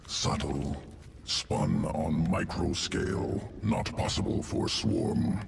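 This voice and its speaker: A man's voice: little pitch movement, slow, slight background noise, exaggerated voice. A man voicing a clinical, emotionless alien swarm creature in a video game, speaking in clipped, detached, analytical phrases. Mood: detached, fascinated. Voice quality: very deep, gravelly. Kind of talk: video game cinematic acting.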